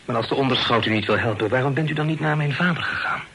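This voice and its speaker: An actor in a period radio play, voicing an earnest, courteous young gentleman with a polite, gently probing manner. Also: medium pitch, fast, lightly acted. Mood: earnest, reassuring. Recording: noisy old radio drama recording.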